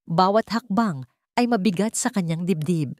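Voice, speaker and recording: A woman's voice: lowish voice, animated, normal speed, a little mellow, no room sound, clean studio sound. A woman narrating a scripted romance drama in a theatrical, suspenseful way. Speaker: story narrator.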